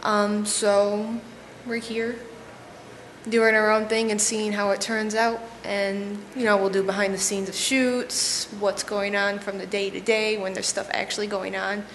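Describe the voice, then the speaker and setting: A woman's voice: medium pitch, flat delivery, a little slow, a bit bright. A woman talking informally and a little hesitantly in an off-the-cuff, unscripted vlog. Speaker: vlogger. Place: indoor office and studio rooms. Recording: roomy, echoing, noticeable background hiss.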